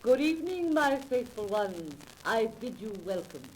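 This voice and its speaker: A stately spirit medium with a high, theatrical voice. She is grand and mystical in old radio-play style, with faint noise on the 1930s recording. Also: big pitch swings, moderate pace, low-quality recording. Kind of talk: 1930s radio drama acting.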